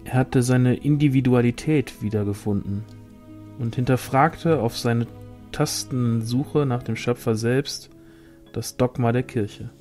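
A man reading a fantasy novel aloud as scripted narration, in a measured storytelling manner. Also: mid-range voice, dark tone, faint music behind. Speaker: audiobook reader.

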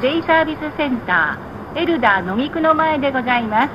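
A woman reading scripted stop announcements on a bus in a measured, formal, polite manner. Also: sits high, lively intonation, over a noisy crowd. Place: played over speakers inside a moving bus. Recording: muffled, noisy recording inside a bus. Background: bus engine and road noise.

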